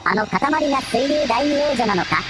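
A synthetic female voice playing a calm, matter-of-fact AI assistant in a radio drama, reporting in clipped phrases. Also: on the high side, fairly quick, background noise, natural voice.

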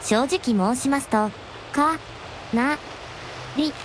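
Woman acting a high-voiced, gracious young dragon princess. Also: very slow, background noise. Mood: tender, weary. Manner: refined, deferential, ladylike honorific speech. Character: young dragon princess, devoted, gentle, very polite. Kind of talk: Japanese radio drama acting.

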